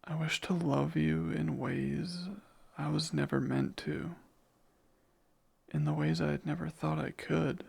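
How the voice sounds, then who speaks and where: A man playing a jealous, devoted demon in an intimate ASMR romance roleplay, speaking ardently and deliberately in a breathy, gravelly voice. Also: on the high side, expressive, a little mellow, exaggerated voice. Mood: tender, yearning. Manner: slow, deliberate, ardent, possessive. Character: jealous, devoted male demon confessing love.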